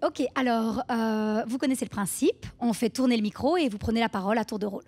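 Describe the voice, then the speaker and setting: An actress playing a brisk, encouraging facilitator of a support group in a radio drama. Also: female voice, a little high, a little mellow. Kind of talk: naturalistic radio drama acting.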